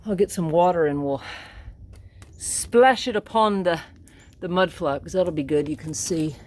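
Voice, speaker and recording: Woman, lowish voice, casual chatty narration, outdoor work, faint ambience. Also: measured pace, darkish tone, a little hiss or hum. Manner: chatty, rambling, many fillers. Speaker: car DIY vlogger. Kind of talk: casual narration while working. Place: outdoors beside a car.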